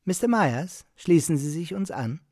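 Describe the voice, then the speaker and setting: A man's voice: on the high side, expressive, unhurried, dark tone, dry recording, studio quality. Insistent, pontificating married man. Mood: pointedly polite. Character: male host, insistent, pontificating, edgy married storyteller. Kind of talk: radio drama acting.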